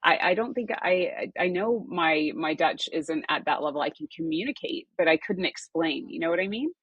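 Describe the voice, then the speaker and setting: A woman's voice: sits low, expressive, moderate pace, fairly bright tone, slightly rough, no room sound, highs cut off. A woman hosting a podcast, chatting casually and affirming her guest, often repeating words as she gathers her thoughts. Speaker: podcast host.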